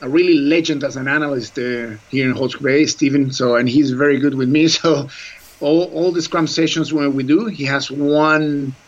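A man's voice: on the high side, fairly even intonation, normal speed, lo-fi sound. A man who is not a native English speaker, a rugby scrum coach talking earnestly in an interview, with frequent restarts and fillers. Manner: earnest, many restarts and "you know" fillers. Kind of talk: conversational interview. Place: New Zealand.

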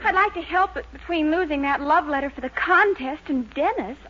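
An actress playing a lively, exasperated young woman, in an expressive 1940s radio sitcom style. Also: high voice, brisk. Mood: fretful. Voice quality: bright, expressive comedy delivery. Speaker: Dennis's girlfriend Mildred. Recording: dull old radio broadcast.

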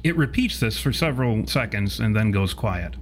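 A man's voice: lowish voice, lively intonation, moderate pace, slight background noise. A man narrating a tabletop game as game master, improvising in wordy, formal phrases.

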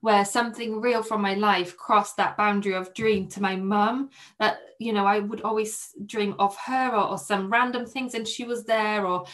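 A young Polish woman, a podcast guest, telling a personal story in a soft, hesitant way with many restarts. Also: mid-range voice, steady tone, moderate pace, hardly any pauses. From Poland.

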